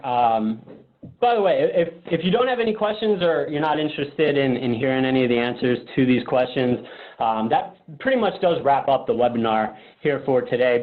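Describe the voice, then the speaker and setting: A man presenting a product webinar casually, informal and thinking aloud in a hesitant way. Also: medium pitch. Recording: phone-like narrow-band webinar audio.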